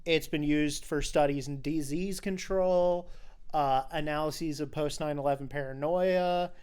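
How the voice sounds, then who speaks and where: A man's voice: on the high side, fairly even intonation, measured pace, darkish tone, smooth. A man hosting a casual film podcast, talking in an informal, explanatory way. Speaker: podcast host.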